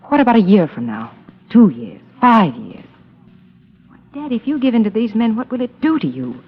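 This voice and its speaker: An actress playing an earnest, devoted young American daughter in a vintage radio drama, pleading with dramatic intensity. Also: female voice, fairly high pitch, sing-song, moderate pace, breathy edge, heavily acted. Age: young adult. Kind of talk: 1940s-50s radio drama acting. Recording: dull old radio recording with hall reverb.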